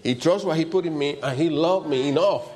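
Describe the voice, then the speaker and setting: A man with a loud voice and preaches in an informal, conversational way, repeating short phrases for emphasis. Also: a little high, fairly quick. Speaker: preacher leading a church service.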